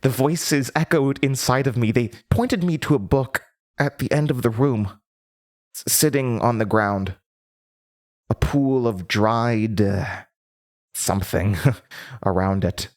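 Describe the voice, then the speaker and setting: A man playing a troubled, trapped young woman, lonely and obsessive, in a halting, intense horror audio drama monologue. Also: medium pitch, lightly acted. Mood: dazed, feverish. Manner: halting, stammering, brooding, intense.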